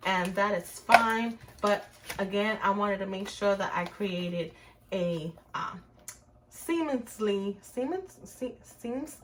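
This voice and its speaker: Woman, Puerto Rican accent, middle-aged, chatty crafting host, mid-pitched voice, faint background noise. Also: slow. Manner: chatty, narrates her hands-on work to viewers. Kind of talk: casual crafting tutorial.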